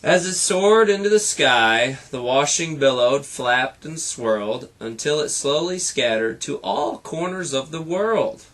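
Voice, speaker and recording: A man reads a children's story in a bright, forceful voice. He takes his time over the rhythmic verse and delivers it with drama and playful asides, like a teacher reading to a class. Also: a little high, animated, unhurried, hardly any pauses. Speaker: teacher reading aloud to students. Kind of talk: expressive picture-book read-aloud.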